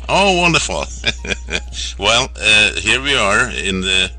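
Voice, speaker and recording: A man hosting an informal radio interview, speaking in a halting, rambling and polite way. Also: fairly low pitch, very animated, sharp tone, faint music behind, poor audio. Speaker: radio show host.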